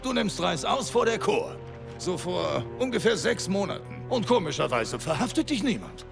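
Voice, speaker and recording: An actor playing a cocky, middle-aged veteran engineer soldier in a video game, smug and sarcastic. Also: male voice, high-pitched, very animated, moderate pace, vocal fry, music in the background, heavily acted.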